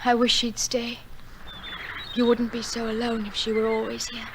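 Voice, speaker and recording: A woman playing a shy, tender young fisher girl, soft and earnest, in old-time radio drama style. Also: on the high side, monotone, normal speed, noisy background, natural voice. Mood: wistful.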